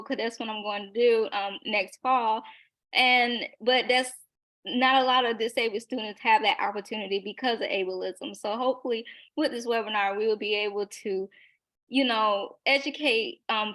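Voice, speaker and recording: A young woman in her twenties talks on a webinar panel in an earnest, emphatic way, her voice sitting a little high. She leans on filler words and breaks into short laughs, and she calls her own voice loud. She comes through a video call. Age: young adult.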